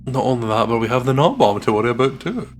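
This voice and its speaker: A man's voice: mid-range voice, expressive, fast, faint music behind. A man narrating a visual novel aloud as a let's player, with playful, casual and sweary commentary.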